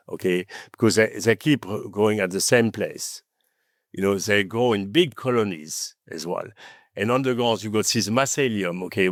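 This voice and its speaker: A man with a French accent, French his first language, talking as a podcast guest in an animated storytelling manner, often adding "okay?" and "you know". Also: on the low side, dry recording, clean studio sound. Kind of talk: conversational interview.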